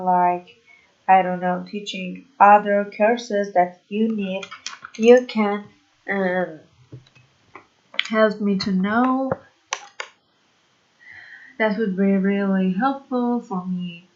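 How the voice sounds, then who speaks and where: A woman from Iran, whose first language is Persian, teaching a programming tutorial in slow, hesitant English full of fillers. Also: mid-range voice, lo-fi sound. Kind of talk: screen-recorded coding tutorial.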